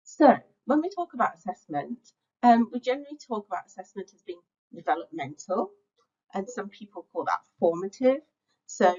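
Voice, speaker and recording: A woman's voice: mid-range voice, a little mellow, lo-fi sound. Middle-aged woman giving a talk. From England. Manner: reflective, conversational, frequent "um" fillers. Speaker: teacher-training speaker. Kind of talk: webinar lecture.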